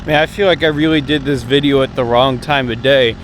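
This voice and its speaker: An American man in his thirties talks casually and directly to his viewers, offering tips in a conversational, slightly hedging way. His voice sits at an average male pitch. It is recorded outdoors on a camera at arm's length, with faint urban and vehicle noise behind him. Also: fairly even intonation, a little fast, fairly bright tone, low-quality recording. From United States. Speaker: amateur radio vlogger. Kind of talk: casual instructional vlog to camera. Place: outdoors, open park path beside water. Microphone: camera held at arm's length.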